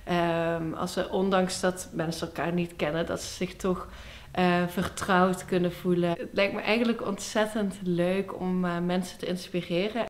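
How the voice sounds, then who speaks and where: A woman drama therapist giving a hesitant interview with many pauses, in a workshop room. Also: fairly low pitch, fairly even intonation.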